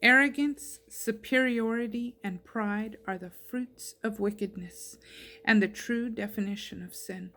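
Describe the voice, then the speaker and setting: A woman reading Bible verses aloud in a plainspoken, clearly phrased devotional style. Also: a little high, fairly even intonation, measured pace, sharp tone. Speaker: scripture reader.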